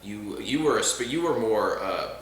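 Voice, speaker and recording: Male film reviewer, lowish pitch, sarcastic rambling delivery, very reverberant room with faint hiss. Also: animated, moderate pace, a bit bright. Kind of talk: casual chat. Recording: very echoey room, faint noise.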